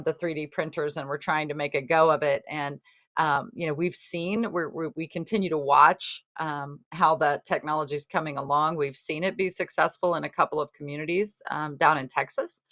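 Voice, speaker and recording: A woman's voice: low voice, fairly bright tone, no room sound. A woman testifying before a legislative committee, answering questions plainly with frequent ums and restarts. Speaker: local housing official testifying.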